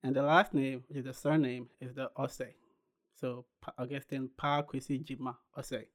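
A young man from Ghana who founded a clothing brand, telling his story unhurriedly as a podcast guest, with pauses and restarts. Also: medium pitch, level tone. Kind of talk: casual interview chat.

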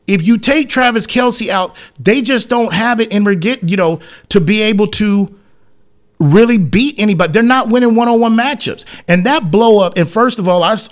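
A man hosting sports talk, giving an emphatic, blunt, opinionated monologue in colloquial, slangy speech and repeating phrases to hammer them home. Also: high-pitched. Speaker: sports talk show host.

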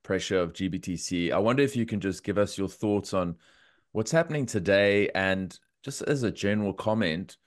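A man's voice: low-pitched, moderate pace. A man hosting a podcast interview, upbeat and enthusiastic, sniffly from a cold. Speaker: podcast host.

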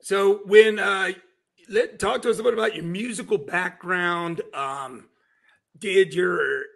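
High, gravelly American male host. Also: slow pace, a bit bright. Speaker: show host, interviewer. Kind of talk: casual interview chat.

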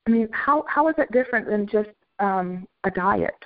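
A woman's voice: medium pitch, animated, moderate pace, narrow, tinny audio. A woman hosting a talk show, reacting effusively with frequent exclamations of amazement. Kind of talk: interview hosting.

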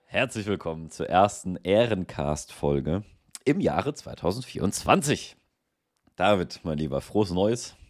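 Very deep-voiced male podcast host. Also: measured pace. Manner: relaxed, thinking aloud with many fillers. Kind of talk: casual theological podcast chat.

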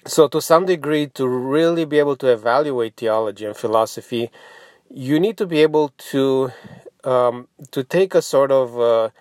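A man whose first language is Romanian, giving an informal explanatory podcast monologue in a halting, reflective manner with frequent pauses. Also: mid-range voice, darkish tone, dry recording, clean studio sound. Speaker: podcast host.

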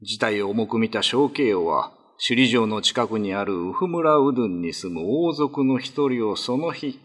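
A man's voice: lowish voice, lively intonation, a little slow, breathy edge. A man narrating an old ghost tale as a scripted radio drama, in a dramatic storytelling style.

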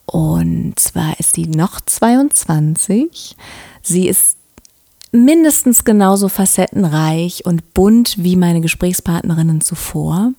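A young woman from Berlin with a Berlin accent, hosting a podcast interview in a gentle, soft-spoken way that often sinks to a near-whisper. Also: on the low side, dry recording, studio quality. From Germany. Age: young adult. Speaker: podcast host. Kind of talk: conversational interview.

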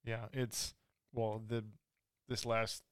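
A man's voice: on the low side, little pitch movement, slow, clear, resonant tone, no echo, clean studio sound. A man interviewing a friend in a casual conversation, agreeable and mostly giving short replies. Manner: agreeable, brief backchannel replies.

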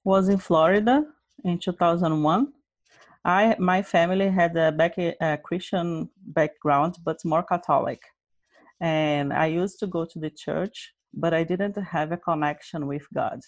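Lady telling her faith story, lowish voice, dry close sound, earnest plain english. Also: fairly even intonation, unhurried, no room sound. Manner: earnest, simple non-native phrasing. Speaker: interview guest, Bible study leader. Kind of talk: personal testimony in an interview.

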